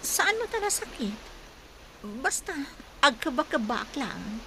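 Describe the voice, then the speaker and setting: A woman's voice: high-pitched, sing-song, takes it slowly, sharp tone, a little hiss or hum, full character voice, rough recording. A woman acting an evasive character who brushes off concern in an audio drama. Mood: evasive, yielding. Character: woman brushing off concern about her heart. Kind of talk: Ilocano radio drama acting. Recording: music clearly under the voice.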